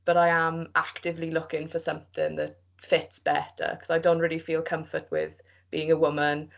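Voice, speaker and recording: Creaky, lowish young female voice. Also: fairly even intonation, normal speed. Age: 20s. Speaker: interviewee. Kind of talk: casual, candid interview talk. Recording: muffled lo-fi sound.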